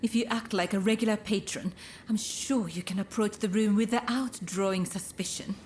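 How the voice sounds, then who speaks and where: An actress playing a gentle, courteous woman of a Japanese pleasure district in a video game, speaking softly and formally in measured, breathy phrases. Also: medium pitch, steady tone, a little hiss or hum, lightly acted. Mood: concerned, discreet. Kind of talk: scripted dramatic game dialogue. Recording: music behind the voice.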